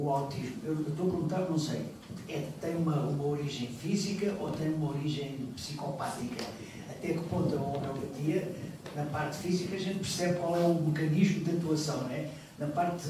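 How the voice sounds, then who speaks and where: A man asking a tentative question from the audience. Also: a little high. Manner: searching, tentative phrasing. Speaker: audience member. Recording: echoey room from far off, noisy.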